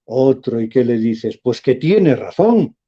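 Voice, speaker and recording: A man, a professor speaking as a guest in a radio interview, measured and emphatic. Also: medium pitch, very animated, slightly rough, lo-fi sound.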